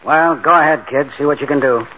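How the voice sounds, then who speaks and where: An actor playing a tough, take-charge bank robber in a 1940s radio drama, with colloquial, commanding, streetwise talk. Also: male voice, on the high side, brisk, lightly acted. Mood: urgent, determined. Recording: muffled, noisy old radio recording.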